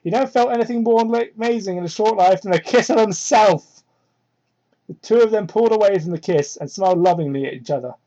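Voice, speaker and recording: Husky woman doing a sweary comedic reading. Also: brisk, mellow, lo-fi sound. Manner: exasperated, sweary, heckles the text. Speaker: reader of fan fiction. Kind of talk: comedic dramatic reading with asides. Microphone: cheap home microphone. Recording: crude, low-quality microphone.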